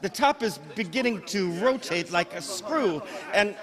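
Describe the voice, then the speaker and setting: Radio play casting: man to play an excitable, stammering field reporter, high-pitched and horrified, heard in a reverberant hall. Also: a little slow, a crowd in the background. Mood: urgent, excited. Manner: breathless, stammering, hesitant live commentary. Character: excitable, horrified male radio news reporter at a disaster scene. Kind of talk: old-time radio drama eyewitness reporting.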